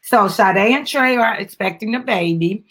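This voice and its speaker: A woman's voice: medium pitch, normal speed, fairly bright tone. A woman doing a live dramatic reading of a children's book as host, with chatty, upbeat asides and encouraging prompts to a small child.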